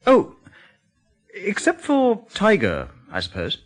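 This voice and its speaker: A genial lodger and painter in a radio drama. His pitch is extremely animated. He chats expressively, with unease under the good humour. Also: slow, heavily acted. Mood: genial, uneasy. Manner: conversational, expressive storytelling. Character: genial, uneasy painter lodging at a remote farm.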